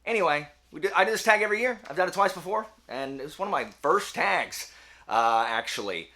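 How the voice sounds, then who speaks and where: A man talking casually as the host of a book review channel, with a slangy, self-deprecating, animated manner. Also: on the high side, fairly quick. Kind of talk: casual chatty monologue to camera.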